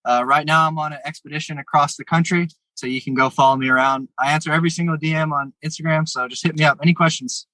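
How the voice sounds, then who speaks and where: A man's voice: fairly high pitch, steady tone, fast talker, few pauses, pushed and bright, dry recording, poor audio. A man in his twenties, an interview guest talking casually. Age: 20s. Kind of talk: casual chat.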